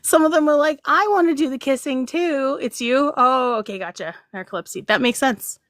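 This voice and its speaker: A woman's voice: high-pitched, moderate pace, a bit bright, no echo, clean studio sound. Giggly woman chatting on a livestream. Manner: giggly, rambling, reads chat aloud. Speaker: livestream host. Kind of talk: casual livestream chat.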